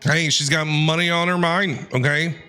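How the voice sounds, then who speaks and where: A man's voice: fairly high pitch, fairly even intonation, moderate pace, pushed and bright, faint music behind. A man livestreaming casual game commentary, playfully talking back to the characters in slangy speech.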